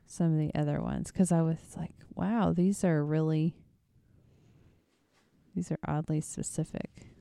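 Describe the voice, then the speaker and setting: Low gravelly woman chatting casually. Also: measured pace, pauses often, no echo, clean studio sound. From American Midwest. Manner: animated, mimics phrases in a high voice. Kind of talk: casual chat. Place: home in Florida.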